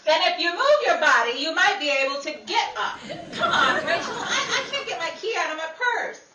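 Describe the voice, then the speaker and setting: Woman playing high-pitched, animated 1930s radio showgirl Betty. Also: fairly quick. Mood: flustered, excited. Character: busy, excitable 1930s showgirl Betty, quick to retort. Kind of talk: 1930s radio comedy acting. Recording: noisy, echoey live hall, far mic.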